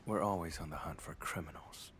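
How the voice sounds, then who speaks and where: An actor playing a cool, self-assured investigator in a video game, speaking in a terse, detached way. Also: male voice, sits low, brisk, fairly bright tone, slight background noise.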